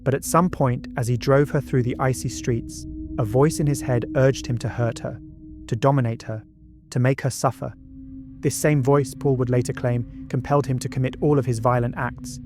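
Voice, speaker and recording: A man reading scripted true-crime documentary narration in a measured, dramatic storytelling manner. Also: medium pitch, steady tone. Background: background music.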